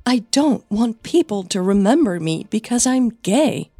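A woman's voice: on the high side, big pitch swings, unhurried, warm tone, no echo, studio quality, faint music behind, voice pushed up. A woman voicing a bitter, defensive young actress in an audio drama quarrel, emotional and clipped, with a flu-affected voice. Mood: bitter, upset.

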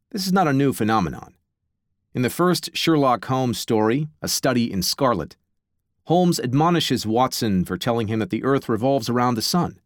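A man reading an audiobook in a measured, theatrical style with playful asides. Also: mid-range voice, no echo. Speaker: audiobook narrator. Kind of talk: audiobook narration. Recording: dry studio audiobook recording.

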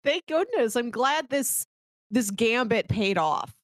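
A woman's voice: on the high side, animated, takes it slowly, dry recording. A woman co-hosting a podcast, talking informally in a casual discussion of films. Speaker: podcast co-host.

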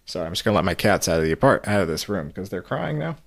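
A man giving a casual, halting, meandering storytelling recap on a podcast. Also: sits low, big pitch swings, vocal fry, no room sound. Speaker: podcast guest recapping a radio show.

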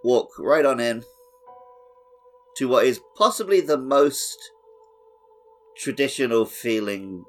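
A man's voice: medium pitch, lively intonation, slow, long pauses. A man co-hosting a podcast, chatting casually about a video game. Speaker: podcast host. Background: background music.

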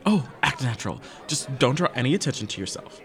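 Guy acting as hyper teen boy best friend, super animated, teasing, podcast drama. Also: mid-range voice, fast. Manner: theatrical, teasing, blurting. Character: excitable, cheeky teenage boy, loud loyal best friend. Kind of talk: audio fiction podcast acting.

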